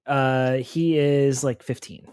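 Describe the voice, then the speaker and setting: A man's voice: mid-range voice, fairly even intonation, takes it slowly, darkish tone, clean tone, dry recording. A man playing a tabletop role-playing game, casually improvising and repeating words as he thinks aloud. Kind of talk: casual in-character improvised chat.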